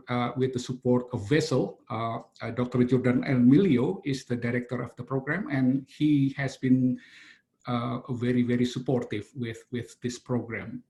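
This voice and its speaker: A middle-aged man from Indonesia, giving a webinar lecture over a video call, halting and full of "uh" fillers and restarts. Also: medium pitch, a little mellow. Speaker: engineering professor.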